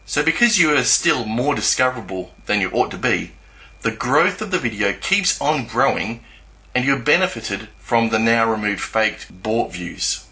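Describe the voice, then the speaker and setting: A man giving a scripted explanatory narration in a measured, didactic way, spelling out each step. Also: mid-range voice, expressive, bright, forceful tone, rough recording. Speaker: YouTube channel narrator.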